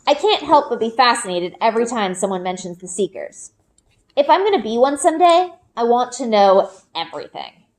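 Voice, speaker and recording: A woman reading a children's book aloud in an expressive storytelling style. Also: a little high. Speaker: read-aloud reader. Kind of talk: expressive children's book narration, first person. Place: group read-aloud with elementary pupils.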